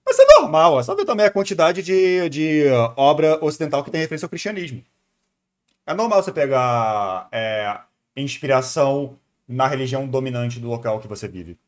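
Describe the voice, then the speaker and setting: A man's voice: mid-range voice, very animated, normal speed. A man with a Brazilian accent giving casual live commentary as a reaction streamer, rambling and thinking aloud in slang.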